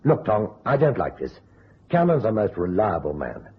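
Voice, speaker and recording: Concerned agency boss, very husky, highly animated intonation, businesslike vintage radio acting. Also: male voice, normal speed, exaggerated voice. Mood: concerned, insistent. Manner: direct, polite but insistent. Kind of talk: golden-age radio detective acting. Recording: muffled, rough old radio recording.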